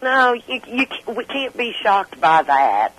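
An older woman with a hoarse voice, chatting casually and excitably as a caller on a radio talk show. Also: a little high, measured pace, very noisy. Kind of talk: casual, jokey chat.

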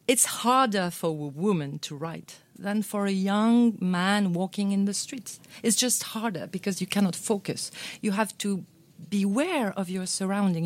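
A woman's voice: medium pitch, dry recording. A woman, an author from France, answering interview questions at a bookstore event in a thoughtful way, often repeating words as she searches for them. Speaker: author guest at a book club.

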